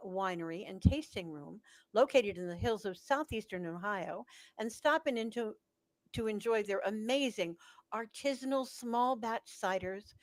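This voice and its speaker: A woman's voice: mid-range voice, no room sound, low-quality recording. A woman announcer reading scripted narration and sponsor copy for a radio drama.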